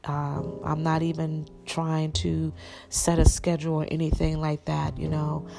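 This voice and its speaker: Raspy woman, low flat voice, earnest sermon and prayer, gravelly, music underneath. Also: unhurried. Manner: earnest, repetitive preaching cadence. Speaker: podcast minister. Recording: music playing behind the voice.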